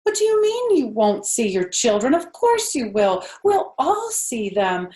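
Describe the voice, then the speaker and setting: Woman as an eager young pig, pitched up. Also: big pitch swings, unhurried, warm tone, roomy sound. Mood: eager, concerned. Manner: wide-eyed, questioning, naive. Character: innocent, eager, devoted young male pig. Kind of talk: children's storybook character voice.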